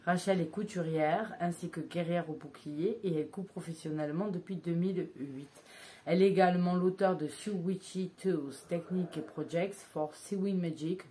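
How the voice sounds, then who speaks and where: A woman's voice: on the low side, moderate pace, mellow, a little hiss or hum. A woman in her thirties chatting casually to camera as a vlogger, hesitant, with many filler sounds and restarts. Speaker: vlogger reviewing books.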